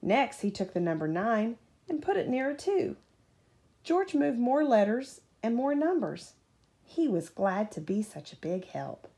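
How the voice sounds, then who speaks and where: Lively woman reading a bedtime storybook, slightly creaky. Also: fairly high pitch. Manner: animated, pausing to ask a child questions. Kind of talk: expressive children's storybook read-aloud.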